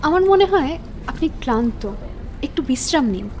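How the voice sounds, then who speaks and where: A woman playing a polite, mysterious young office worker in dialogue for an animated horror story. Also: high voice, normal speed, a little hiss or hum. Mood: concerned. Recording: music bed under voice.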